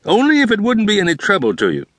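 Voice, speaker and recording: A man's voice: high-pitched, huge pitch swings, quick pace, no echo, studio quality, voice pushed up, exaggerated voice. A man doing a hearty, coaxing father. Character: hearty middle-aged father on the phone.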